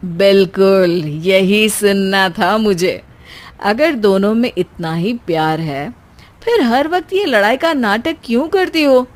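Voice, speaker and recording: A woman's voice: mid-range voice, slow, close to an everyday voice. A woman voicing an exasperated adult son in a cartoon, who lectures his bickering family with sarcasm. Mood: lecturing.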